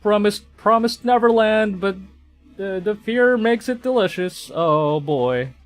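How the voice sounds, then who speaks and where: A man's voice: high voice, unhurried. A man from the Philippines streaming a game, reacting wryly with stammers and reading the text aloud. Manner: hesitant, stammering, wry reactions. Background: game music.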